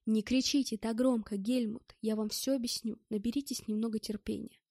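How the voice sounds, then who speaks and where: Женщина читает реплики сдержанного, вежливого героя-мужчины из аудиокниги, говоря успокаивающе и чуть лукаво. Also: female voice, fairly high pitch, fairly quick, no echo, clean studio sound. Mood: calm reassurance. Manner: restrained, polite, calming. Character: composed, reassuring male first-person hero, slyly polite.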